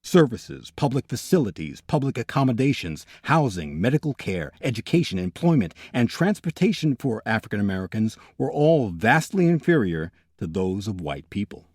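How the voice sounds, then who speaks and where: A male narrator delivers a scripted documentary with serious emphasis. His voice is rough-edged and moves around a lot in pitch, and the sound is very dry. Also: big pitch swings, normal speed, few pauses, a little mellow, no room sound. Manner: serious, emphatic list-making. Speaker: narrator and host.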